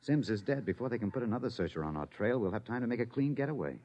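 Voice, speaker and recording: A man's voice: mid-range voice, animated, rapid-fire, dark tone, close to an everyday voice. An American man playing a protective, determined fugitive husband in an old-time radio drama, speaking in earnest, clipped phrases. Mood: soothing confidence. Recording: muffled, noisy old radio recording.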